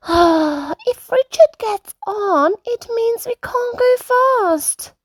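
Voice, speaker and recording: A woman's voice: very high-pitched, expressive, slow pace, no room sound, voice pushed up, heavily acted. A woman voicing a bossy little girl pig, a big sister in a children's storybook. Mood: reluctant. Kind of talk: children's storybook read-aloud.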